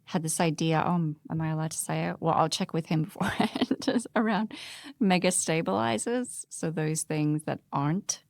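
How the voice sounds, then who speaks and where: A woman's voice: low-pitched, normal speed, creaky, darkish tone, no echo, studio quality. A woman talking conversationally as a panelist in a podcast discussion.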